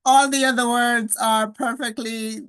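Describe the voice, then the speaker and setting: A woman's voice: on the high side, fairly even intonation, a little slow, clean tone. Curious woman podcast host. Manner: curious, asks open questions, warm aside comments. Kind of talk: casual interview chat.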